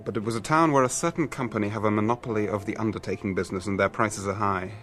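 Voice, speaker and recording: A man's voice: fairly low pitch, steady tone, fast, fairly bright tone, natural voice. A man playing a fussy, aggrieved restaurant owner in a radio drama, fretful and exasperated.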